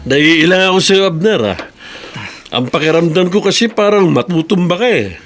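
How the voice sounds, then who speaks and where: An actor playing a kind, devout boss who reassures and encourages others in a Filipino radio drama. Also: male voice, sits high, expressive, moderate pace, fairly bright tone, soft background music. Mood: jovial, encouraging.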